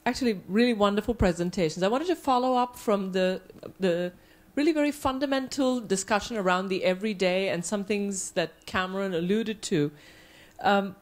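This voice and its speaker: A woman's voice: medium pitch, moderate pace. A woman in an audience putting an academic question to a panel in a hesitant, wordy way.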